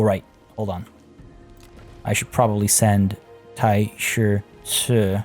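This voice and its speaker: A relaxed man with a low, warm voice walks through his strategy game turn by turn. He sounds slightly hoarse, as if losing his voice. Faint game music plays quietly behind him. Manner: relaxed, thinking aloud with many fillers. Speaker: let's play host. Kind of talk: casual gameplay commentary.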